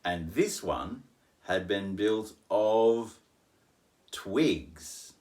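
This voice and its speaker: Slow, animated middle-aged male storyteller. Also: on the low side. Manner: dramatic pauses, playful asides. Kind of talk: animated read-aloud of children's rhyming story. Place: small home living room, in an armchair.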